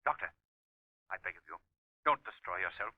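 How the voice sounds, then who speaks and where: A man's voice: low voice, lively intonation, fairly quick, no echo. An actor playing an earnest, alarmed man pleading urgently in a 1930s radio drama. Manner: urgent, imploring. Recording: dull, dry 1930s radio recording.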